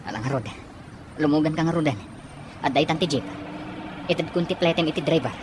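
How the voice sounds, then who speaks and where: A man's voice: on the high side, a little fast, noisy background, close to an everyday voice. A man playing a concerned, dutiful father in an Ilocano drama, speaking earnestly. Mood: worried, caring. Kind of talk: Ilocano radio drama acting.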